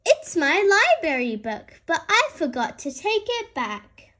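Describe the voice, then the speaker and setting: Bumbling daddy pig, acted by a woman, earnest, slow and deliberate, lively intonation, storybook character. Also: very high voice, pitched above the performer's own voice, heavily acted. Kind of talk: children's storybook read-aloud.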